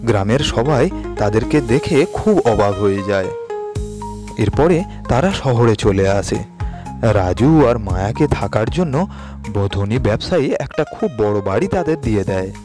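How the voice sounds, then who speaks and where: Low-voiced male storyteller over loud music. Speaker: narrator. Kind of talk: scripted storybook narration.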